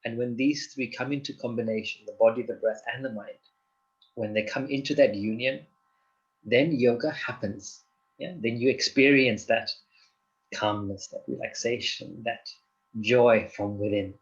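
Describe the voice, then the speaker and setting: Calm man from Zimbabwe explaining. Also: medium pitch, normal speed, highs cut off. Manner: calm, explanatory, often checks in with "yeah?". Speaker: guest, doctor and yoga teacher. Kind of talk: interview answers.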